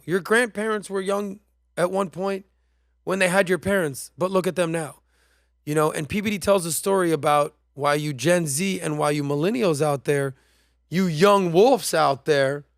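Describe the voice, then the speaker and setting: A man's voice: a little high, moderate pace, creaky, no echo, studio quality. A man hosting a podcast, talking in a casual, emphatic and slangy way while commenting on played clips. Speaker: podcast host.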